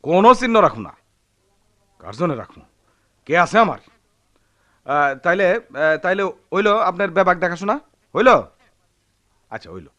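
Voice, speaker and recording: A man's voice: sits high, big pitch swings, moderate pace, pauses often, sharp tone, lo-fi sound, full character voice. An actor playing a defiant, hot-headed village man in a radio drama, blustering and threatening in a rural Bangladeshi Bengali accent. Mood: impatient.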